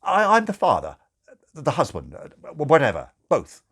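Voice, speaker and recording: A flustered, doubtful father acted out by a male narrator in a storybook. The voice is husky and jumps high, stammering and halting as he tries to sound sure of himself. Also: wildly swooping pitch, fairly bright tone, full character voice. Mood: flustered, eager. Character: flustered, mildly puzzled, doubtful new father.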